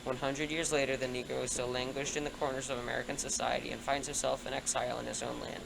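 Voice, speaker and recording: Fast, creaky young male speech reader. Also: mid-range voice, flat delivery, background noise. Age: teenager. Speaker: student reader. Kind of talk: reading a speech aloud.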